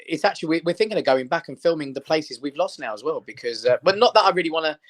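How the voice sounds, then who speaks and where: A man's voice: fairly high pitch, expressive, fast. A British-born man, Armenian his first language, chatting upbeat with lots of fillers as a comedian guest. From Britain. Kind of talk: casual chat.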